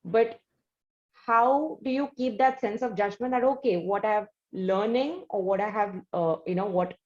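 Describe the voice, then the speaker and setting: A woman with an Indian accent conducts an interview in a medium-pitched voice. She talks conversationally and at length, repeating phrases as she works toward each question. The sound is echoey and a little dull. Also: a little slow. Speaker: interviewer, host.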